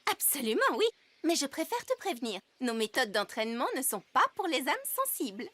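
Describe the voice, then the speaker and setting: High-voiced, take-charge cartoon girl. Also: very animated, fairly quick, bright, forceful tone, no echo, full character voice. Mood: brisk, confident. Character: caring, brisk young girl who takes charge. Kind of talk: French cartoon dub acting. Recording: music behind the dialogue. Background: music.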